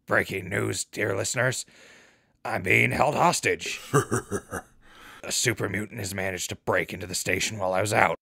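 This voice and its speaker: A man playing a wry wasteland radio host in an audio drama, dry in manner, with a gravelly voice. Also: on the low side, fairly even intonation, normal speed, long pauses, pushed and bright, heavily acted. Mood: sardonic, wary.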